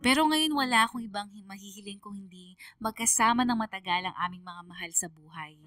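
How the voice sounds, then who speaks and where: A woman's voice: medium pitch, brisk, sharp tone, no echo, far from the mic, lightly acted. A woman with a Filipino accent playing a sentimental young daughter, narrating her heartfelt letter in a radio drama. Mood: sentimental, happy. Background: music.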